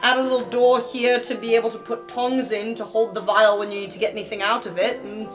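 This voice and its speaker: Practical student inventor played by a woman, slightly high voice, casual explainer, fantasy tabletop game, faint music. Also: level tone, brisk, close to an everyday voice. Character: matter-of-fact inventive student engineer Gary. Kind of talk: improvised tabletop roleplay.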